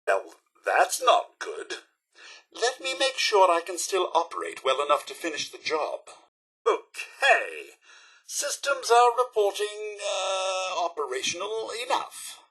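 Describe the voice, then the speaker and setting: A chipper British robot butler from a video game. The voice sits fairly high for a male and swoops widely, with a sharp, raspy edge. The delivery is prim, formal and theatrical, fussy yet unfailingly polite. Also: sing-song, slow pace, heavily acted. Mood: flustered, stoic optimism. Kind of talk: video game character acting.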